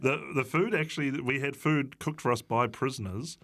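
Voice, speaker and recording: A man's voice: medium pitch, normal speed, a crowd in the background. A man with a New Zealand accent chatting casually as a comedian guest, telling anecdotes in a hesitant, wry way. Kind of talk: casual chat, comic anecdotes.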